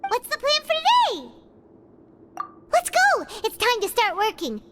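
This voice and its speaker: Squeaky, very high-pitched childlike fairy companion. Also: female voice, wildly swooping pitch, measured pace, noisy background, heavily acted. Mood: excited. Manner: chirpy, refers to self in third person. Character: tiny excitable childlike female fairy companion, cheerful and talkative. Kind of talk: animated video game voice acting.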